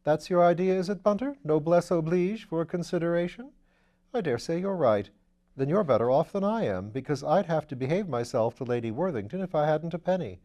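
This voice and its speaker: A man voicing a breezy, whimsical aristocratic gentleman sleuth in an audiobook, chatty, playful and theatrical. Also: on the high side, animated, fairly quick, pitched above the performer's own voice, natural voice. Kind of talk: audiobook character voice.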